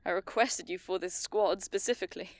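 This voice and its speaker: A woman's voice: medium pitch, no echo, natural voice. An actress playing a stern, seasoned woman commander in an audio drama. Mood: gentle. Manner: stern, measured.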